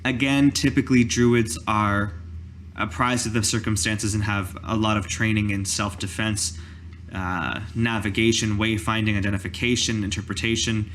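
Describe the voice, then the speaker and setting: A man voicing a formal druid master in a tabletop game, speaking in deliberate, professorial phrases. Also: fairly low pitch, steady tone, fairly bright tone, a little hiss or hum, close to an everyday voice. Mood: formal, instructive. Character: formal, measured male druid master giving lessons.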